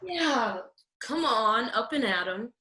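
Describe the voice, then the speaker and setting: A young fairy tale girl, acted by a woman, sweet but no-nonsense. Her voice is high and bright, in a roomy space, as performed for a comedy radio play. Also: lively intonation, takes it slowly, heavily acted. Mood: weary, coaxing. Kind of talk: comic radio play acting.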